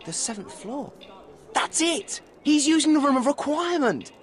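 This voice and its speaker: An actor with an English accent playing an earnest, dogged teenage schoolboy wizard in a video game. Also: male voice, very high-pitched, animated, normal speed. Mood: suspicious, intent. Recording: music behind.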